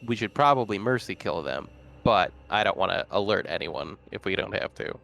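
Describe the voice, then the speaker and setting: Dude playing a tabletop rpg, kinda low voice, casual, thinking out loud. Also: male voice, expressive, moderate pace, gravelly. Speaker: tabletop game player. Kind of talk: casual game table chat.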